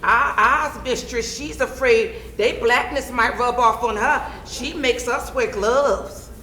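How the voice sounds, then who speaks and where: Enslaved messenger played by a woman: gossipy, halting Southern period dialect, stage acting, roomy sound, faint noise. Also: fairly high pitch, bright, forceful tone. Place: stage of a theatre or hall.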